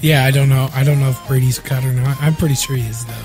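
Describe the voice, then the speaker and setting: Husky man, casual crude podcast banter. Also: medium pitch, steady tone, fast, darkish tone, soft background music. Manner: crude joking, loose and playful. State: husky, recovering from illness. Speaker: podcast co-host.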